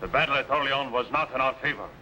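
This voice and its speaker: A wary, deferential barbarian lieutenant. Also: male voice, mid-range voice, quick pace, bright, forceful tone, a little hiss or hum, rough recording. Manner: cautious, doubtful, deferential. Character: wary loyal adult male lieutenant to a warlord. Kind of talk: 1950s TV serial acting.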